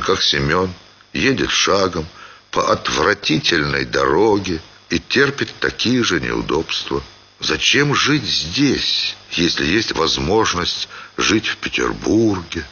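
A man reading a literary short story as expressive scripted narration, with dramatic, theatrical phrasing. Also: low-pitched, slightly rough, muffled recording.

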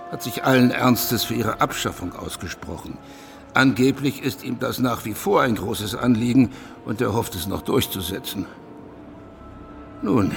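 An actor playing a matter-of-fact professional executioner in a radio drama, speaking in a measured, officious way. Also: male voice, medium pitch, gravelly.

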